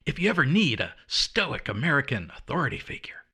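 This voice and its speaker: Presidential authority figure, creaky, husky, formal, deadpan, American, audio drama. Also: male voice, medium pitch, fairly quick, heavily acted. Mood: stoic. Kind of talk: comedy audio drama acting.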